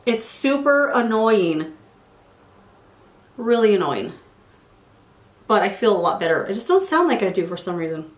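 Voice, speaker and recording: A woman's voice: mid-range voice, big pitch swings, measured pace, long pauses, echoey room. Chatty congested woman in her forties. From United States. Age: 40s. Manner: chatty, rambling, trails off and restarts. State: slightly congested after a cold. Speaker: beauty vlogger. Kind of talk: casual get-ready-with-me chat.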